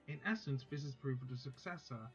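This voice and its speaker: A man's voice: mid-range voice, a little fast, fairly bright tone, natural voice. A proud teenage girl magus tells of her morning in a visual novel, read aloud by a man in his own middling voice. The reading is plain, with little change, tripping over words and fixing them. The sound is dull and lo-fi, with faint music running underneath. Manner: plain reading with stumbles and self-corrections.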